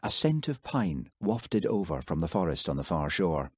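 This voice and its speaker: A man reading an audiobook in calm, steady scripted narration. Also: low-pitched, normal speed, breathy edge, no room sound, clean studio sound.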